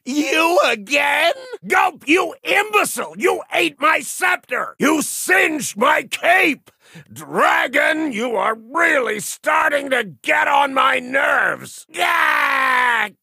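A man voicing a vain, hot-tempered little horned tyrant sorcerer in a video game, with a raspy snarl and a theatrical, menacing, bellowing delivery. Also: very high voice, very animated, slow, sharp tone, no echo, raised voice, clean studio sound, heavily acted. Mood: furious. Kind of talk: cartoon video game villain acting.

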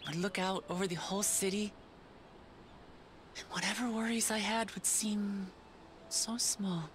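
A woman's voice: mid-range voice, steady tone, measured pace, slight background noise, close to an everyday voice. An actress playing a young, idealistic lion-woman warrior princess in a video game, speaking earnestly and from the heart. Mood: fond, nostalgic. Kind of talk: voiced game cutscene dialogue.

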